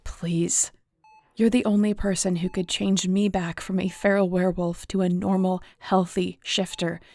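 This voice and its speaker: A woman's voice: fairly low pitch, flat delivery, measured pace, warm tone, no room sound. A woman playing a troubled, stubborn young werewolf leader in an audio roleplay drama, speaking in an emotional, confessional way. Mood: insistent. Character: troubled female werewolf alpha, hurt, stubborn, self-doubting.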